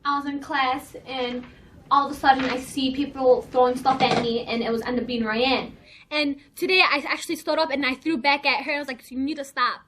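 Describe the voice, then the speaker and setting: Young woman playing a high-pitched teenage schoolgirl, defensive and argumentative, quick to protest in a school role-play. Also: steady tone, a bit bright, a little hiss or hum. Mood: aggrieved. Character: defiant, aggrieved teenage high school girl. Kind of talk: amateur school dramatization.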